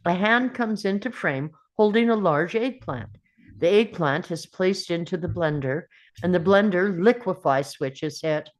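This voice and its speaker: A woman narrating a screenplay's scene directions at a table read. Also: on the low side.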